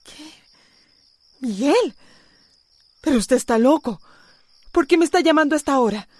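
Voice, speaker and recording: Anguished, resolute Costa Rican wife, high-voiced. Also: female voice, animated, moderate pace, a little mellow, no room sound. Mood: indignant. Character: anguished, resolute wife standing up to abusive husband. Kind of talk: radio soap opera acting.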